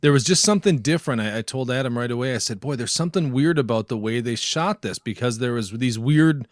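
A man's voice: mid-range voice, fast talker, dry recording. Casual male podcast host, dry close sound. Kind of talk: casual tech and gaming podcast chat.

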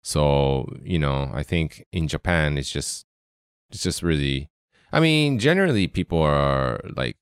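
A man speaking as a guest in casual conversation, relaxed and using a lot of fillers. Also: very deep voice, a little slow, smooth, no echo, clean studio sound.

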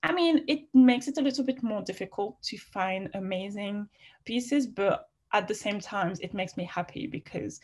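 A woman with a slight French accent mixed with British, answering interview questions in a chatty, frank way with many fillers. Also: mid-range voice. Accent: French, with some British. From France. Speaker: interview guest, fashion writer.

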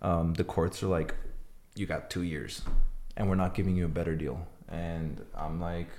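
A man's voice: deep voice, fairly even intonation, moderate pace, a little mellow, roomy sound. A young man, born in Iran, telling his story as a podcast guest, blunt and swearing freely. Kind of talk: podcast interview.

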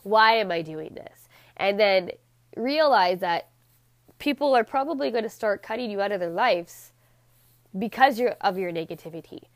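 A woman's voice: medium pitch, normal speed, dry recording, clean studio sound. Stuffy-nosed woman giving casual pep talk. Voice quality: slightly raspy. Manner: chatty, acts out quoted people in animated voices. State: stuffy, congested nose. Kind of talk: casual motivational monologue.

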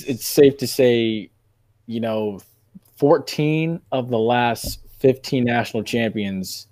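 A man hosting a sports podcast in casual, animated debate, making his points emphatically and repeating phrases for effect. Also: mid-range voice, no room sound.

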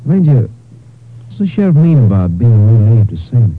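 Casting: a stubborn, uneasy young ranchman, medium pitch, informal country manner, 1950s radio drama, dull narrow vintage sound. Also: big pitch swings, moderate pace, faint music behind. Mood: uneasy, defiant. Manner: informal, folksy.

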